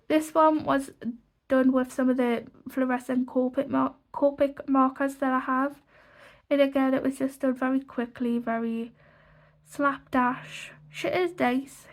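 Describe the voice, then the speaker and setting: A woman's voice: high voice, gravelly. A young woman, stuffy from a cold, chatting casually through a colouring book flip-through with lots of "like" fillers. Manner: rambling, many "like" fillers and restarts. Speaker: colouring channel host.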